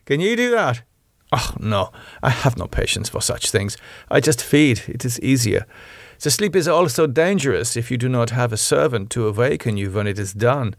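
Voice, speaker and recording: A man's voice: medium pitch, lively intonation, moderate pace, no room sound, studio quality, lightly acted. A man voicing a commanding female vampire, soothing, stern and formal in an audiobook story. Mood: matter-of-fact. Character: commanding foreign female vampire, calmly maternal yet menacing.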